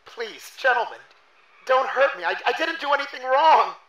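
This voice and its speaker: An actor playing a pompous university dean in a horror drama, indignant and bewildered, with a stammering, pleading manner. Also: male voice, very high-pitched, expressive, normal speed, sharp tone, highs cut off, heavily acted. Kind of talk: analog horror drama acting. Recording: echoey room with faint noise.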